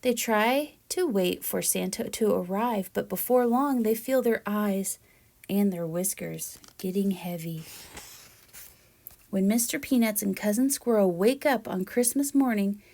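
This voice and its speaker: Slow-paced woman reading to children. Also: a little high, animated, a little mellow. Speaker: read-aloud storyteller, teacher-like presenter. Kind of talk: expressive children's picture book read-aloud.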